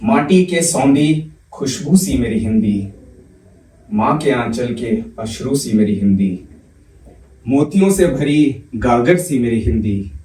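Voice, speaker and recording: A man's voice: medium pitch, expressive, mellow, slight background noise. Young male poet reciting in a roomy club. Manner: measured recital of verse, chatty fast banter between poems. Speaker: poet and comedian. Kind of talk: poetry recital with stand-up banter. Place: comedy club stage. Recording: roomy club sound from a distance.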